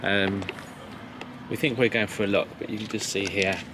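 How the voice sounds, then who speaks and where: A man's voice: lowish voice, flat delivery, normal speed, noisy background. A man chatting casually and playfully as he narrates a vlog outdoors. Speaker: vlog host. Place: outdoors by a river and boat. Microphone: handheld camera, operator behind it.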